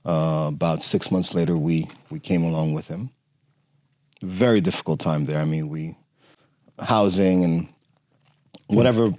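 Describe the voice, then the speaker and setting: Middle-aged man guest from Romania, low voice, halting storyteller, dead-dry mic. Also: darkish tone, dry recording. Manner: halting, many fillers and restarts. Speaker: podcast guest. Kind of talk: conversational interview storytelling.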